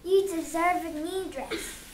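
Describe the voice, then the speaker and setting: A woman's voice: extremely high pitch, takes it slowly, slight background noise, voice pushed up. A woman voicing a cruel, scheming stepmother with crooning, gloating delivery in a storybook read-aloud. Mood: falsely sweet.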